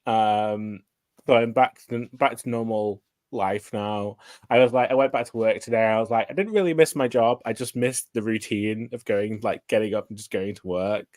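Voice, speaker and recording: A man's voice: fairly low pitch, normal speed, a little mellow, no echo, clean studio sound. A man chatting casually about books as a livestream guest, meandering and hesitant, often saying "like". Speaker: guest co-streamer.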